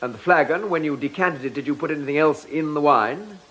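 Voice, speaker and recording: An actor playing a courteous, probing chief inspector in a radio drama, with a measured, drily ironic way of questioning. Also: male voice, fairly high pitch, fairly bright tone, natural voice. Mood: brisk questioning. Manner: polite, measured, dry questioning.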